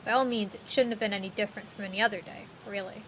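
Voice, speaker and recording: A woman's voice: mid-range voice, fairly even intonation, rapid-fire, a little hiss or hum, lightly acted, poor audio. A woman playing a streetwise friend who narrates an audio drama in the second person, in an intimate, dramatic manner. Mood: tense, grave. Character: concerned streetwise friend narrating a tense urban memory. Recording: loud music behind.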